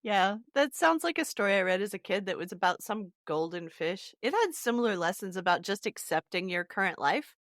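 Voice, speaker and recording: A woman's voice: medium pitch, fairly bright tone, dry recording, clean studio sound. A woman hosting a podcast, chatting casually about a story and steering the discussion.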